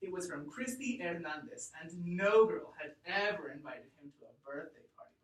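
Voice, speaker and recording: A woman teacher reading aloud to a class and explaining deliberately and expressively, thinking out loud. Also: on the low side, animated. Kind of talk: read-aloud lesson to a class. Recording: echoey room, distant mic.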